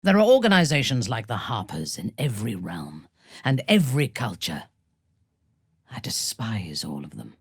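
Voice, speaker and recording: A woman playing a haughty, commanding female warrior cleric with a husky voice, cruel yet intimate, in a fantasy video game. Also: extremely low pitch, big pitch swings, no room sound, studio quality, exaggerated voice. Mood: cold disdain. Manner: commanding, haughty, deliberate, theatrical.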